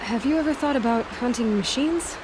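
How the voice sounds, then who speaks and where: An actress playing a young huntress heroine in a video game, speaking in a measured, direct, questioning and matter-of-fact way. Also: female voice, a little high, noisy background, lightly acted. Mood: wary. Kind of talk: video game character acting.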